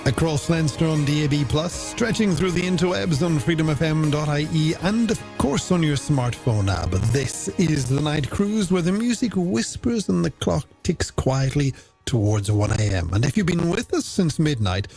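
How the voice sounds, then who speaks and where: Playful male radio host, very animated delivery. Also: on the high side, moderate pace, vocal fry. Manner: playful, theatrical, wordy banter. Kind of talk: late-night music radio presenting with a phone-in game. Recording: music bed behind the voice. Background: music.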